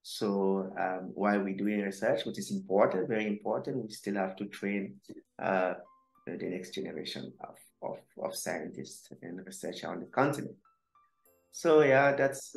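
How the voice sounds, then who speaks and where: Cameroonian guy in his 40s, lowish voice, lots of uhs and restarts, faint music behind. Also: vocal fry, roomy sound, poor audio. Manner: halting, many fillers and restarts. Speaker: guest scientist. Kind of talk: casual interview answers.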